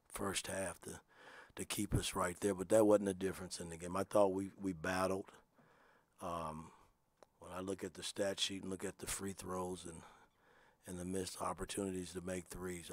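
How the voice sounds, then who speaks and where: Low flat-voiced older coach. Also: male voice, no echo. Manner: measured, self-critical, plain-spoken. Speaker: basketball coach. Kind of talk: postgame press conference answers. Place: press room with sponsor backdrop. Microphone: table microphone.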